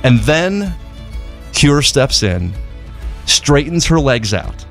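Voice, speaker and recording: A man's voice: mid-range voice, big pitch swings, takes it slowly, gravelly, soft background music. A man raised in Zimbabwe, telling a child's story in an earnest, passionate charity appeal on the radio, often repeating words as he goes.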